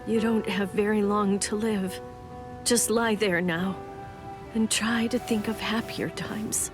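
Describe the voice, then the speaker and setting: A woman's voice: mid-range voice, steady tone, slow pace, over music, natural voice. An actress playing a proud young noblewoman warrior in an anime game, formal, imperious and dramatic, with stern self-sacrifice. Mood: grave, resigned. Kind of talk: English anime game dub acting.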